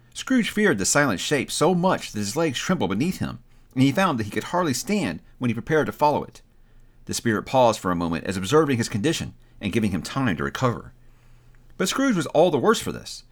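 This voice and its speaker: A man narrating an audiobook in a dramatic, expressive and deliberate storytelling style. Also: medium pitch.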